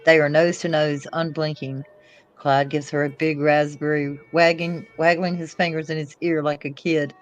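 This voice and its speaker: A woman's voice: sits low, level tone, normal speed, lo-fi sound. A woman reading screenplay stage directions aloud as scripted narration. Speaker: co-narrator, reader. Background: faint music.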